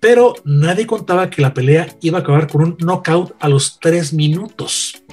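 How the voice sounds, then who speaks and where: A husky-voiced Mexican man, a veteran announcer, spins earnest radio anecdotes in a fairly high register. Faint music plays behind him. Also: moderate pace, darkish tone. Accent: Mexican Spanish. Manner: storytelling, earnest and didactic. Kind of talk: anecdotal conversation.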